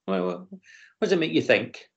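A man's voice: medium pitch, sing-song, brisk, husky. Hesitant man teaching over a video call. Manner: hesitant, frequent ums and restarts. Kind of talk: online class discussion. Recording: slightly dull video call audio.

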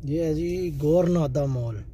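Man in his thirties, high voice, chatty tour-guide vlog, phone mic, noisy background. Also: slow, dark tone. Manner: repeats "check karein ji", chatty tour-guide narration. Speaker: travel vlogger. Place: car in traffic, then large indoor shopping mall. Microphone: handheld phone camera. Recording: background noise.

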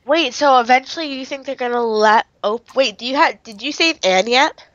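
A woman chatting casually and excitably as a co-player in a game, asking questions, on dull voice-chat audio. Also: sits high, normal speed, dry recording. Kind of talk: casual chat while gaming.

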